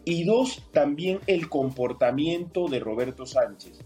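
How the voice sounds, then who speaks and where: A man from Peru giving measured political analysis as an interview guest, with many hesitations. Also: on the high side, lively intonation, a little mellow, soft background music. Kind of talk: interview on air.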